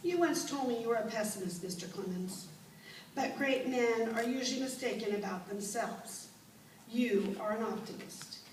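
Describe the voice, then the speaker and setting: A woman playing an impassioned advocate for the blind, reciting a formal, measured speech in a declamatory dramatic style. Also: fairly high pitch, darkish tone, close to an everyday voice. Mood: stirring, hopeful. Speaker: performer in a dramatization. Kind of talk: dramatic recited oration before an audience. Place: indoor room, recorded from a distance. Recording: roomy, distant mic, background noise.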